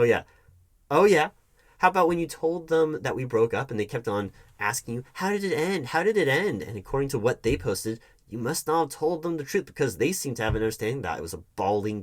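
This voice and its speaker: A man playing a hurt, defensive hiring manager in an audio roleplay, talking to his ex in a halting, sarcastic way. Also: mid-range voice. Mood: hurt, indignant. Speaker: roleplay performer. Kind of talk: one-sided audio roleplay acting.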